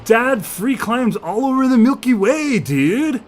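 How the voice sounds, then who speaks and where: High-pitched excitable alien surfer dude. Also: male voice, a little hiss or hum, exaggerated voice. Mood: proud, cocky. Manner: exclamatory surfer slang, drawn-out emphasis. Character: excitable young alien surfer dude, slug in human guise. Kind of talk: audio fiction character acting.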